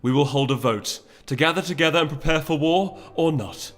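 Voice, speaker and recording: A man's voice: on the high side, a little fast, soft background music, voice pushed up, natural voice. Man voicing a stern, commanding pony princess. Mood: commanding anger. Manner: imperious, formal. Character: regal mare princess, stern, weary, commanding.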